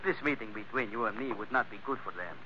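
A man's voice: fairly low pitch, fast talker, close to an everyday voice. An actor playing a genial, scheming gangster in his thirties with a Spanish accent and stilted, insinuating English, in 1940s radio style. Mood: oily, friendly. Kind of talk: 1940s radio adventure acting. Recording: narrow, slightly noisy old radio recording.

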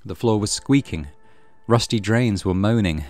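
A man reading a horror visual novel aloud as dramatic, measured narration. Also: lowish voice, a little mellow. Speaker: gaming channel host reading visual novel. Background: music.

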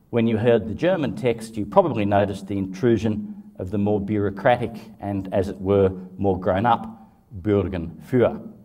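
An older man giving a measured, scholarly prepared talk about his translation work, slightly off the microphone. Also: lowish voice, mellow, recorded from a distance. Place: indoors against dark curtain.

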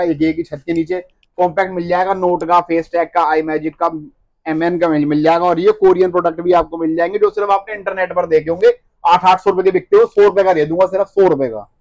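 A high-voiced man in his thirties talks very fast, listing goods and discount prices like a seasoned seller. His pushy patter stumbles and repeats words as he rushes on. The sound comes close from a phone camera in a shop. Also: fairly even intonation. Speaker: wholesale cosmetics shop owner. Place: cosmetics shop among stocked shelves, indoors. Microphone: handheld phone camera up close.

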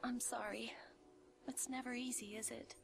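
Humble, sorrowful young maidservant. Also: female voice, on the high side, steady tone, moderate pace, creaky, noisy background. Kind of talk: scripted game cutscene acting.

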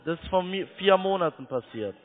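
A man's voice: sits high, expressive, brisk. A man who is a non-native German speaker, making an insistent, blunt sales call as a call-centre agent. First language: not German. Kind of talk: unscripted sales phone call. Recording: tinny narrowband phone line.

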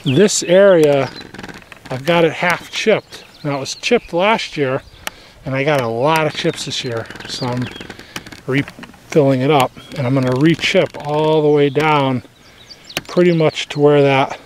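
Casual man, slightly high-pitched, narrating outdoors. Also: measured pace, slight background noise. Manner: unscripted, plainspoken. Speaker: homesteader hosting a garden tour vlog. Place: outdoors in a rural yard. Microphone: handheld camera's built-in microphone, speaker behind camera.

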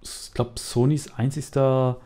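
A man's voice: medium pitch, flat delivery, a little slow, dark tone. A man hosting a casual gaming podcast, quizzing his co-hosts and often searching for words. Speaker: podcast host.

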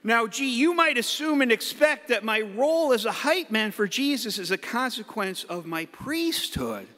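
A middle-aged man, a priest, giving a playful homily from the pulpit with the odd hype-man shout. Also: very high-pitched, very animated, moderate pace. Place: large church. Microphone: pulpit mic. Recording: echoey church hall.

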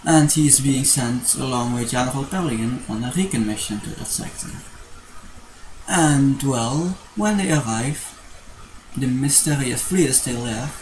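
A man's voice: medium pitch, a little slow, darkish tone, slight background noise, low-quality recording. A young man with a Dutch accent, rambling hesitantly in a casual vlog from his bedroom, recorded on a webcam. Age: young adult. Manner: rambling, restarts often, hesitant fillers. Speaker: vlogger. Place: small bedroom with posters. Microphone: webcam at desk.

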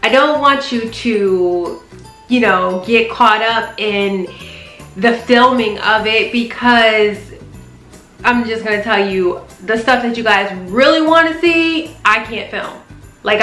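A woman's voice: mid-range voice, sharp tone, roomy sound. A young adult American woman vlogger chatting casually to camera in an emphatic, conversational way, often checking in with the listener. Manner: emphatic, conversational, punctuated with "right?" check-ins. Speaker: vlogger and flight attendant. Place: small living room, indoors on a sofa.

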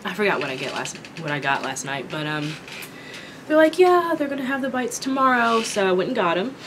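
A young woman from Kentucky chatting casually while eating, her voice raspy from a sore throat, rambling with many ums. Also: mid-range voice, sing-song, brisk, fairly bright tone, a little hiss or hum. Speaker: vlogger. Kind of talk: casual mukbang chat. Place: small indoor room with dark curtain backdrop.

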